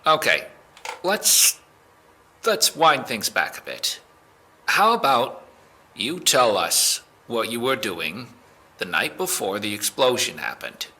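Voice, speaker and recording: Guy doing a no-nonsense cop interrogator for an audio drama, curt n probing, super sharp voice, lots of pitch movement. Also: very animated, takes it slowly, pauses often, exaggerated voice. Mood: controlled, businesslike. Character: stern, no-nonsense male police officer interrogating a suspect.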